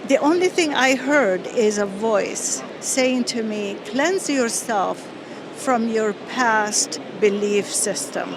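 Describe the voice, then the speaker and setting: A woman from Lebanon telling her personal faith story in an interview, earnest and halting, with many pauses and fillers. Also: on the high side. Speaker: interview guest. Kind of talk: personal testimony. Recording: outdoor street noise behind.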